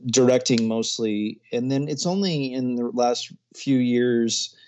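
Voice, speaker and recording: A man's voice: medium pitch, steady tone, unhurried, a little mellow, a little hiss or hum. A man in his thirties, a filmmaker guest in a casual interview, chatty and often laughing as he talks. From grew up overseas, American parents.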